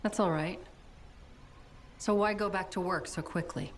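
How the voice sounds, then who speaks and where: A woman playing a composed, probing intelligence agent in video game cutscene acting, measured and questioning. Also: on the low side, a little hiss or hum, close to an everyday voice. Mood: calm, softer.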